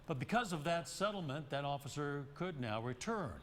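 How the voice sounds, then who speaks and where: A man's voice: on the high side, animated, normal speed, a bit bright. An American man reading scripted news as a television anchor. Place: TV news studio. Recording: director's cue chatter over studio audio, some noise.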